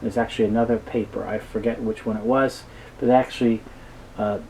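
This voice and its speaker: A man giving a halting, explanatory technical talk as an expert guest in an interview. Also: fairly low pitch, little pitch movement, a little mellow, a little hiss or hum, rough recording. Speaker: guest expert on reel-to-reel tape.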